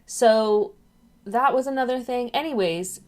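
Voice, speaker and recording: A woman's voice: fairly high pitch, a little slow, darkish tone. A young woman chats into her webcam at home. She rambles in a loose, friendly vlog style and keeps drifting off topic. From England, raised in Ireland. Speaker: YouTuber. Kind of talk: casual chatty vlog.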